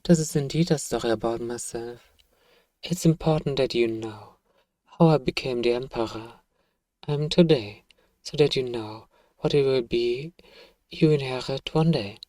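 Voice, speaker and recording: A man acts out an evil emperor in a bedtime audio role-play. The voice is dark and mellow, recorded close and dry. He speaks softly and formally, a measured, fatherly ruler who is quietly sure of his own righteousness. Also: mid-range voice, no room sound, lightly acted. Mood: solemn, self-satisfied. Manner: gentle, measured, formal, persuasive. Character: evil emperor father, soft-spoken, paternal, self-righteous.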